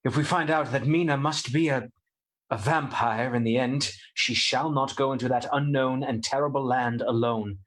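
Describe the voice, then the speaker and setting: A man's voice: medium pitch, fairly even intonation, moderate pace, a bit bright, close to an everyday voice. A man playing a devoted, determined young husband in a radio play, speaking urgently and earnestly in distress. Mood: resolved.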